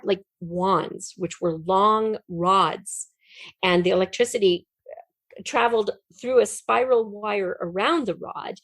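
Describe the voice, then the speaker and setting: A middle-aged woman telling personal stories as an interview guest, animated and acting out the remarks she quotes. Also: medium pitch, dry recording. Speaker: guest, writer.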